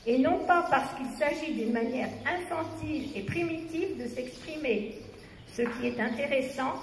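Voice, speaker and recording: Slow, high-voiced woman in an echoing hall. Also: gravelly, slight background noise. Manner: measured, deliberate delivery with long pauses between phrases. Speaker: speaker at a tribute event. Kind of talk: slow formal reading of a prepared text. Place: large reverberant venue at a festival. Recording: echoey hall with long reverb, faint birdsong.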